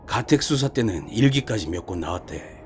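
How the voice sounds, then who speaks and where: A man voicing a gruff, chatty senior detective in an audio horror story, speaking in a blunt, colloquial, emphatic way. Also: lowish voice, lively intonation, normal speed, faint music behind, close to an everyday voice. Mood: gossipy, animated. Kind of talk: dramatized horror short story reading.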